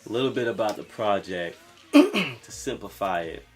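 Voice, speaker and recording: A young man from Brooklyn, New York, a singer-songwriter talking casually about his music with a soft voice and lots of "you know"s. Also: on the low side, moderate pace. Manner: relaxed, rambling, lots of fillers like "you know".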